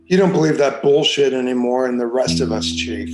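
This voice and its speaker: A man's voice: medium pitch, fairly quick, faint music behind, close to an everyday voice. A man playing a bitter, blunt police detective in his forties in a crime script read. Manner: blunt, profane. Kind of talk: screenplay table read, dramatic acting.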